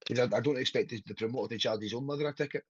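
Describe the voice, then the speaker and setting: A man's voice: medium pitch, racing, husky, dry recording. A man in his thirties from Scotland with a Glaswegian accent, a wrestler chatting on a podcast in a rambling, sweary way that keeps checking in with the listener. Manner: rambling, sweary, keeps asking "do you know what I mean". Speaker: pro wrestler guest. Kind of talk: casual podcast chat. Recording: dull, lo-fi, dry podcast audio.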